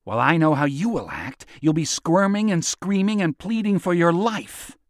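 A gruff, hot-tempered military chief, acted out by a male narrator. He speaks in curt, menacing barks at a slightly high pitch, recorded very dry, as in an audiobook. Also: animated, normal speed, no echo, close to an everyday voice.

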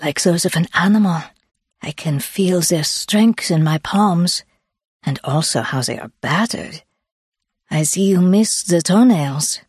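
A woman's voice: fairly low pitch, unhurried, darkish tone, breathy edge, dry recording, studio quality. A woman voicing an earnest, spiritual woman who speaks solemnly in stilted phrases, for an audiobook. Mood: devoted. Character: earnest Swiss woman, spiritual, devoted foot healer. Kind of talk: audiobook character voice.

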